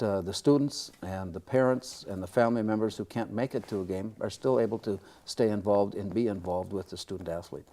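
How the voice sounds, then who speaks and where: An older man with a Midwestern American accent, talking modestly and in wordy, measured phrases as an interview guest. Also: fairly low pitch, mellow. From small-town upper Midwest, United States. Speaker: radio play-by-play broadcaster, interview guest. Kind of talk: casual interview chat.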